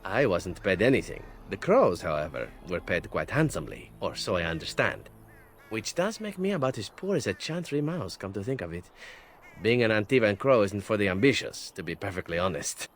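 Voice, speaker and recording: A man's voice: on the low side, sing-song, brisk, a little hiss or hum. A man playing a flippant, talkative assassin in a video game, glib and wry, with teasing tag questions. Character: roguish, flippant male assassin, cheeky and talkative captive. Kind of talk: video game character acting.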